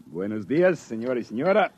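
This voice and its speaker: An actor playing a deferential, excitable hotel keeper with a Mexican Spanish accent in a classic radio drama. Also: male voice, medium pitch, fairly quick, faint music behind, close to an everyday voice. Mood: courteous. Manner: deferential, hesitant, flustered formal speech. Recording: dull old radio recording.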